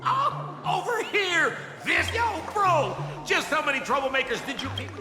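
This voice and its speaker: An actor voicing a small, excitable, taunting cartoonish creature in a video game. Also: male voice, very high-pitched, a little slow. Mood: frantic. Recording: echoey, long reverb, music behind.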